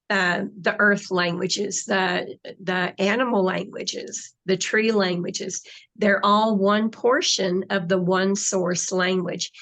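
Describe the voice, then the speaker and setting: Slow-talking woman, interview guest, casual and explanatory, keeps checking in with "right?". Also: medium pitch, steady tone, fairly bright tone. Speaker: guest on a spiritual talk show.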